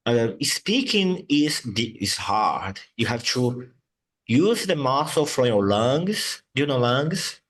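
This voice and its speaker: A male English teacher, Portuguese his first language, talks in an unhurried, drawn-out way. He patiently repeats words over and over to model their sounds. His voice sits at an ordinary middle pitch. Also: sing-song, a bit bright. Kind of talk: online English lesson.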